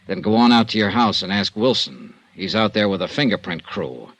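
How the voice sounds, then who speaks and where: A man's voice: fairly low pitch, expressive, fairly quick, fairly bright tone, dry recording. An actor playing a hard-boiled male insurance investigator in a 1950s radio drama, talking in a clipped, sardonic way. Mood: accusing.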